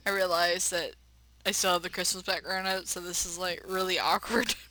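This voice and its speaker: A woman playing a game on camera, switching between dramatic read-aloud narration and chatty, animated commentary with folksy exclamations. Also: fairly low pitch, normal speed, slight background noise, poor audio. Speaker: let's player.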